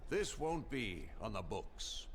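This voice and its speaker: An actor playing a cynical, persuasive American political schemer in a game cutscene, with an American accent and measured speechmaking. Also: male voice, on the low side, sing-song, faint music behind. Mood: firm, conspiratorial. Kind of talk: dramatic game cutscene acting.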